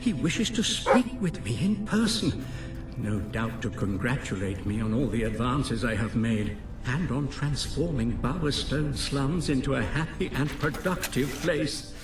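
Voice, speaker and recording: An actor playing an earnest inventor dictating a personal log in a video game, formal and articulate. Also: male voice, mid-range voice, lively intonation, unhurried, natural voice. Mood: proud excitement. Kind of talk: dramatic recorded diary. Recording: roomy, distant, music behind.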